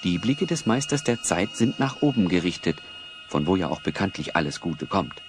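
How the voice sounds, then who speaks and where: A man narrating a children's audio drama as a storyteller, wry and expressive. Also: low voice, normal speed, music in the background. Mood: suspenseful, wry.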